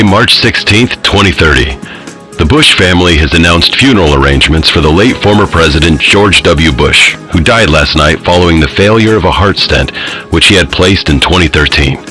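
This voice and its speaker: A formal radio news anchor, low forceful voice, measured, music under it. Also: male voice, low-quality recording. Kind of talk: scripted news bulletin.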